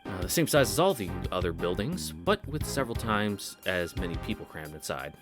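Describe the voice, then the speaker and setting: A man's voice: on the low side, animated, fairly quick, over music. A man reading a visual novel aloud as a let's-play host, breaking off into casual asides.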